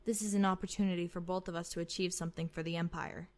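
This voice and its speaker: A woman playing a formal, measured, calculating admiral of an evil empire in a fan-made sci-fi roleplay. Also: on the low side, steady tone, darkish tone, natural voice. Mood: cool, calculating. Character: coolly calculating female Imperial admiral.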